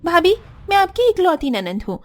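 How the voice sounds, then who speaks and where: A woman's voice: very high-pitched, big pitch swings, moderate pace, soft background music, pitched above the performer's own voice. A woman voicing an arrogant, bossy young married sister-in-law in a cartoon family drama, haughty and demanding. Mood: boastful, demanding.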